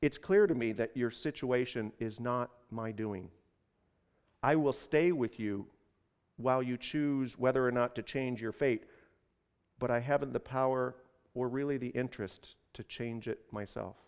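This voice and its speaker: A man's voice: sits high, animated, normal speed, few pauses, in a large hall, voice pushed up. An earnest traveler burdened with another man's fate speaks in a told parable , acted by a male storyteller. He is exasperated yet principled, putting his questions and terms plainly. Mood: calm, resolute. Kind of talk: dramatized storytelling.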